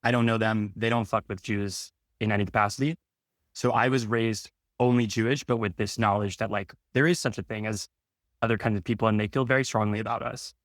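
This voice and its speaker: A man's voice: lowish voice, fairly even intonation, brisk, dry recording, studio quality. A man who talks as a podcast guest in a blunt, wry, matter-of-fact way. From Brooklyn, New York. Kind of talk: casual frank conversation.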